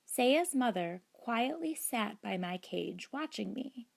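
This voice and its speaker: A woman reading a children's book aloud as narrator, in a deliberate, expressive storytelling manner. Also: a little high, creaky. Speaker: storybook reader.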